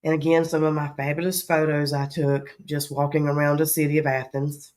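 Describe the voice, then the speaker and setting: A woman's voice: low voice, level tone, a little fast, darkish tone. A woman with a Southern accent hosting a travel vlog in casual chat, her voice scratchy from allergies and her talk rambling with frequent ums. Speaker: travel vlogger host.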